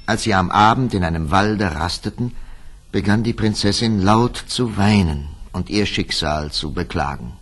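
A man narrating a scripted fairy tale with expressive, dramatic storytelling. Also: deep voice, moderate pace.

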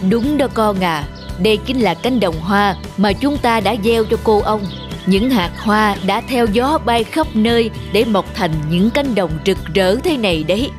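A wise old bear wizard played by an actor from a children's cartoon. He is gentle and earnest, teaching with patience. Music plays behind him. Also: mid-range voice, animated, a little slow, close to an everyday voice. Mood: warm, pleased. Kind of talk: dubbed children's moral cartoon.

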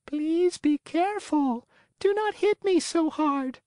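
A man voicing the tiny, high, pleading voice of a talking log in a storybook reading. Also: slow, a little mellow, dry recording. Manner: squeaky, giggly, beseeching.

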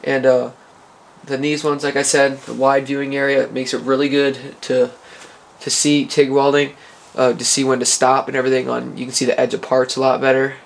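A man casually talking through welding gear in a garage workshop, relaxed, with lots of uh fillers. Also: mid-range voice, moderate pace, creaky. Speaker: hobby welder showing gear. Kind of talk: casual how-to vlog. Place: garage workshop with concrete floor.

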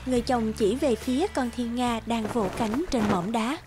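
A woman's voice: a little high, moderate pace. A woman narrator reading a scripted fairy tale for a cartoon. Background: background music.

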